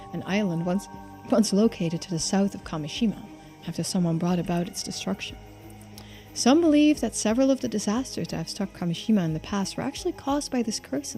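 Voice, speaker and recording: A woman's voice: medium pitch, dark tone. A woman streaming a game playthrough, reading on-screen text aloud and adding casual remarks. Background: game music, faint to clear.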